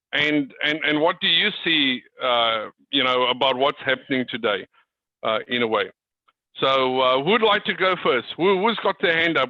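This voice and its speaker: A man's voice: fairly high pitch, no echo. A man speaking informally to a group as a coach on a video call, often repeating his words and circling back on phrases. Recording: dull, lo-fi video call audio.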